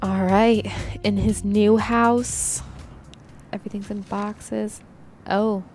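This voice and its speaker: A woman's voice: medium pitch, flat delivery, slow pace, darkish tone, faint music behind. A woman giving informal, giggly commentary as co-host of a reaction video. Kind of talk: casual live reaction.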